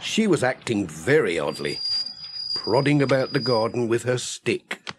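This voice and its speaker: A man's voice: medium pitch, sing-song, measured pace, slight background noise. A man narrating a scripted children's story as an audiobook reader.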